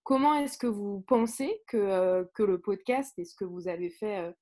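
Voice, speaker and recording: Woman moderator with medium-pitched voice. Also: moderate pace, dark tone. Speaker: event moderator relaying questions. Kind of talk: live event moderation.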